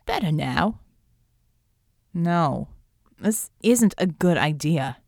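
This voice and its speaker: A woman's voice: fairly low pitch, lively intonation, unhurried, vocal fry, a little mellow, dry recording, clean studio sound, pitched below the performer's own voice. A woman voicing an anxious, reluctant male hero who speaks hesitantly, pleading and downbeat, in an audiobook adventure. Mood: worried.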